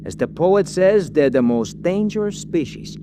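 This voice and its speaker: An actor playing a brash, streetwise cab driver sidekick in a 1940s radio drama, full of opinionated working-class slang. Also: male voice, sits high, animated, measured pace. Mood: brash, grumbling. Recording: noisy vintage radio, vehicle ambience.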